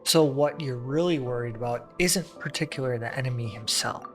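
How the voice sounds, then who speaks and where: Playful male game streamer, medium pitch. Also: brisk, fairly bright tone. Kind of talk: casual gameplay commentary, reading dialogue aloud. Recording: faint game music behind.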